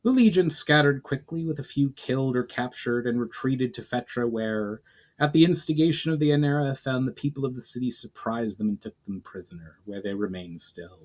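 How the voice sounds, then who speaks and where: A man's voice: medium pitch, fairly quick, a little mellow, natural voice. A loyal fantasy war commander, acted out by a man, reporting to his lord. He speaks formally and courteously, as in a storybook.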